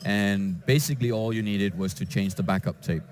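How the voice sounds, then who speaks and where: A man from Denmark, a database developer answering interview questions in a conference lounge, with frequent halting restarts. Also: fairly low pitch. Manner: hesitant, with many restarts and fillers.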